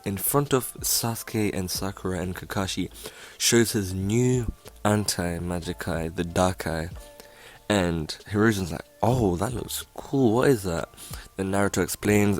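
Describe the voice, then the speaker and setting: Young male YouTuber, very low and plain voice, loose rambling delivery, faint music bed. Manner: rambling, lots of fillers and self-corrections; says he has a really boring voice. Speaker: YouTuber narrating a fan story. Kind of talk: casual fan-fiction retelling.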